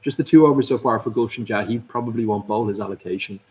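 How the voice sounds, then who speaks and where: A man's voice: on the low side, quick pace, darkish tone, poor audio. A man hosting a live cricket watchalong, commentating and chatting through viewer comments. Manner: chatty, upbeat, reads out viewers' names and greetings. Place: at a cricket club.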